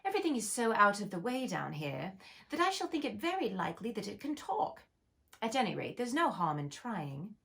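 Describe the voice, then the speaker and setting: A woman's voice: mid-range voice, big pitch swings, a little fast, creaky, fairly bright tone. A woman voicing a polite, curious young girl who chatters earnestly. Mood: eager.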